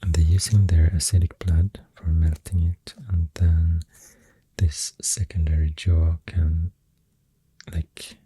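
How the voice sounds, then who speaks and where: Breathy, very low Swedish-accented ASMR voice. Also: level tone, slow pace, no echo. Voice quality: soft, breathy, whispery. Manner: hushed, hesitant, gentle rambling delivery drifting into whispers. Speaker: ASMR artist, host. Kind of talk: soft-spoken ASMR, rambling facts while drawing. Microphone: close ASMR microphone. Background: pencil writing and clicking sounds.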